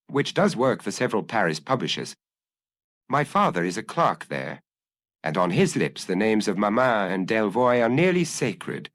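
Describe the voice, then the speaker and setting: A man's voice: on the low side, no echo. A man voicing a troubled young Frenchman in an audiobook, melancholy and hesitant as he explains himself earnestly. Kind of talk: dramatised audiobook dialogue.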